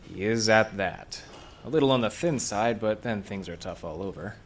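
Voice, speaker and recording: An actor playing a wry, slangy hard-boiled detective with a soft heart, in noir radio drama style. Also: male voice, fairly low pitch, normal speed, slight background noise, close to an everyday voice. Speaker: lead actor and narrator.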